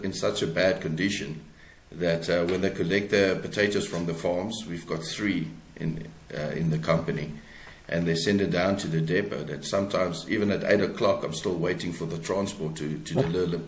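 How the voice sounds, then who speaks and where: Male interview guest, South African accent, low-pitched voice, thoughtful halting delivery, roomy echo. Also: fairly even intonation. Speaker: author, podcast guest. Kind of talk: conversational interview answers. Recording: echoey room sound.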